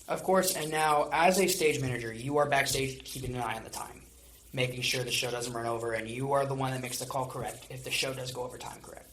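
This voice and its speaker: Young male interviewer, podcast host, medium pitch, slightly noisy recording. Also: fast talker, fairly bright tone. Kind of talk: interviewing guests.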